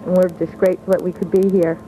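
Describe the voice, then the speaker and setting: A woman's voice: lowish voice, little pitch movement, quick pace. A young woman giving brief, unrehearsed answers as a bystander in a radio interview, shy and simply worded. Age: young adult. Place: outdoors at an airport. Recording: noisy 1980s outdoor radio recording.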